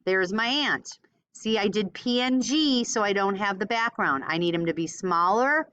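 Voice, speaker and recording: A woman teaching a step-by-step software tutorial informally, thinking aloud as she demonstrates. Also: mid-range voice, normal speed, clean tone, no echo. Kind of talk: casual screen-recorded step-by-step tutorial.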